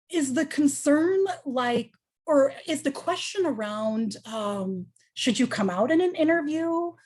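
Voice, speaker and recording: A woman's voice: a little high, darkish tone. A woman on a panel, answering a question in a thoughtful, earnest way with frequent ums and restarts.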